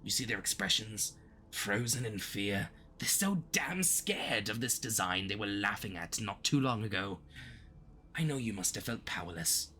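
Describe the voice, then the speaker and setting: A man's voice: on the low side, expressive, moderate pace, bright, forceful tone, a little hiss or hum. Man playing a flirty, swooping, obsessive supervillain. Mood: intense, sympathetic. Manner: theatrical, awkward stammering slips. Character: flirty, smug yet flustered obsessive male supervillain. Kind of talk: ASMR roleplay monologue addressed to the listener.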